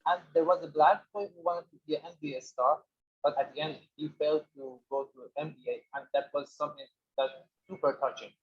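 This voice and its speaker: A man's voice: a little high, fairly even intonation, brisk, slightly rough. A man whose first language is Farsi, a documentary filmmaker speaking hesitantly with many fillers and restarts in a festival interview. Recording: dull lo-fi audio.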